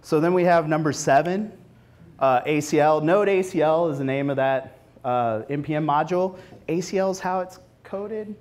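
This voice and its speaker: A man in his forties presenting informally at a tech meetup, conversational, with animated asides, speaking into a lapel mic. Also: on the high side, normal speed. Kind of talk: informal technical presentation with demos. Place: meetup room, standing before a small audience.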